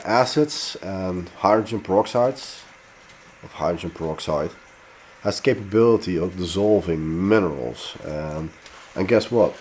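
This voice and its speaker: A Dutch-accented man, speaking English as a second language, walks through his growing routine in a casual vlog. His voice sits very low, and he talks in a frank, explaining way, stretching out the words he wants to stress. A faint background hum runs underneath. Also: a little mellow. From Netherlands. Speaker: pepper grower and fertilizer maker.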